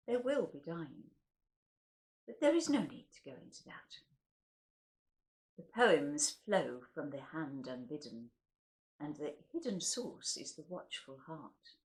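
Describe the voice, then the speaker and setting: Highly expressive woman reading poetry. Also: vocal fry. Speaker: host and reader of poetry. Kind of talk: expressive poetry recital with casual introductions.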